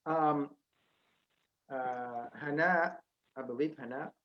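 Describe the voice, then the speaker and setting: A man from Sudan, raised in Los Angeles, speaking haltingly as a film producer in a panel discussion. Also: fairly high pitch. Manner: halting, searching for words. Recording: dull lo-fi sound.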